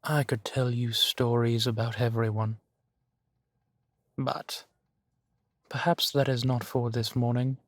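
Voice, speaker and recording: A man playing a centuries-old vampire duke in an intimate roleplay audio, genteel, amused and doting, talking theatrically and savoring his pauses. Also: mid-range voice, little pitch movement, dry recording. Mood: nostalgic, amused. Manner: unhurried, theatrical, savoring pauses with soft chuckles.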